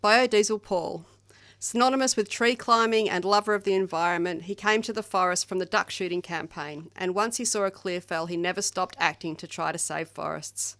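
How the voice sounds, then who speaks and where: Dry, lowish Australian woman reading tributes. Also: fairly quick, a bit bright, no room sound. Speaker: podcast reader of memorial tributes. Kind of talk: scripted memorial tribute reading. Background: faint music.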